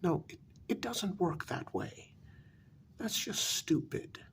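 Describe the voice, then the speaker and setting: Man playing a slow, gravelly, soft-spoken old vampire. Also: sits high, animated, slight background noise, exaggerated voice. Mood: dry, mocking. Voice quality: gravelly, breathy soft voice dropping into whispers. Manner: slow, deliberate, dryly condescending. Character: older, vain, weary, sarcastic gentleman vampire. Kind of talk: soft-spoken ASMR role-play.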